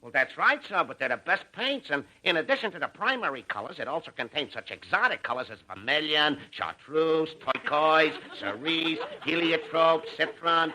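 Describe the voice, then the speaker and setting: Jittery, high-voiced American store clerk. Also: male voice. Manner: nervous, stammering, muttering to himself. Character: jittery, long-suffering male department store clerk. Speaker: comic character actor in a radio show. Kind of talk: 1950s radio comedy acting. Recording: hissy, clicky 1950s radio recording.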